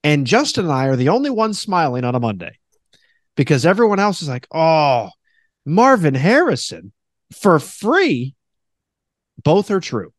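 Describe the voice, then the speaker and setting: A man with a deep voice hosting a casual podcast, animated and quick to mock radio announcers in a high voice. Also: dry recording, studio quality. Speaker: podcast host; former radio host.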